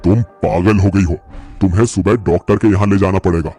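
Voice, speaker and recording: Sceptical, scolding husband, voiced by a man for horror animation: low-leaning, dark tone, faint music. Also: steady tone, a little fast. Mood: dismissive. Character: stern, sceptical husband of a housewife. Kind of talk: horror cartoon dialogue.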